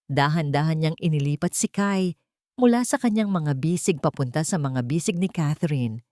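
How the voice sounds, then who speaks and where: A woman's voice: deep voice, warm tone, no room sound, studio quality. A woman reading a scripted, dramatic audio story as a storyteller.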